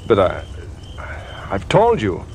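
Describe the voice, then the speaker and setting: An actor playing a troubled, desperate decent man in a 1950s radio drama, speaking in a halting, earnest way. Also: fairly low pitch, wildly swooping pitch, exaggerated voice. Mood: evasive. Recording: old radio broadcast under car noise. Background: faint music, car driving noise.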